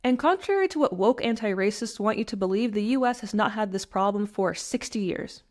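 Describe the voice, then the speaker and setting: A young woman from the United States delivers a scripted personal essay to the listener. Her voice sits in a middle register, and she speaks earnestly, stressing key points in a steady, measured way. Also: mid-range voice. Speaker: commentator.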